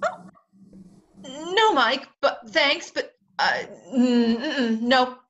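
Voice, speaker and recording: A woman's voice: high-pitched, lively intonation, very slow, a bit bright, muffled recording, exaggerated voice. A woman acting a proud, agitated and self-mocking upper-class bride in a radio play, theatrical and emotional. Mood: wounded, earnest. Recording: noticeable background noise.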